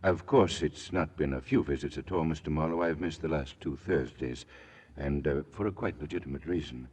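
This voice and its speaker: A deferential older manservant from a radio detective play. He has a deep, husky voice and speaks formally and carefully, with guarded courtesy. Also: moderate pace, darkish tone. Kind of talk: 1940s radio drama acting.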